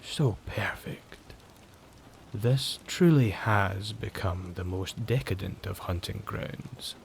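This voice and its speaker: Smug sadistic vampire, low-pitched, very drawn-out gloating delivery, menacing, ASMR horror roleplay, rain in the background. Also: male voice, creaky, a little hiss or hum, exaggerated voice. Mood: gloating, hungry. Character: sadistic male vampire, smug, menacing, savouring his prey.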